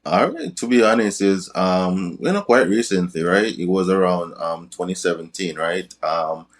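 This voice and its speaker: Guy on a podcast, lowish voice, says right? all the time. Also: animated, normal speed. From Jamaica. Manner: tags many sentences with "right?". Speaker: guest, crypto and business coach. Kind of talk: casual interview talk.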